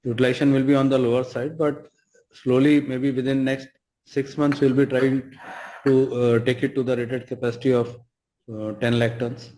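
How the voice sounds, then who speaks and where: A man with an Indian accent answering as a company executive on an earnings call, speaking haltingly and repeating phrases. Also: mid-range voice, flat delivery, dark tone, poor audio. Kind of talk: spontaneous business answers on a conference call.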